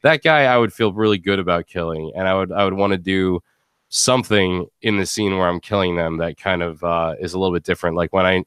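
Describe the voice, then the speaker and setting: Male podcast host, low pitch, dry sound, slangy casual panel talk. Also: steady tone, a little fast, fairly bright tone, clear, resonant tone, dry recording. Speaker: podcast host and audio drama actor.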